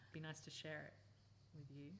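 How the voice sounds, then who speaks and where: A woman playing a wistful filmmaker in a radio play, earnest and tender as a new love. Her voice is on the low side, with noise behind it in a live recording. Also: completely flat delivery, brisk, gravelly, fairly bright tone. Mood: wistful, romantic.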